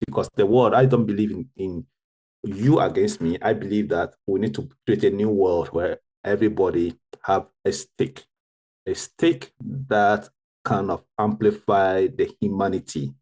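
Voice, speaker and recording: A man talks in a roundtable discussion, earnest and full of restarts. His voice sits low, with a husky grain to it. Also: fairly even intonation, a little slow, a little mellow, rough recording. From Ghana. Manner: earnest, with frequent restarts and self-corrections. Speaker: guest, tech founder.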